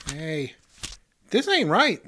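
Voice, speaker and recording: A man's voice: a little high, wildly swooping pitch, slow, sharp tone, full character voice. An actor playing a folksy, punch-drunk custodian in a comic radio play, jittery and echoing the ends of his own phrases. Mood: puzzled. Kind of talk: old-time radio comedy acting.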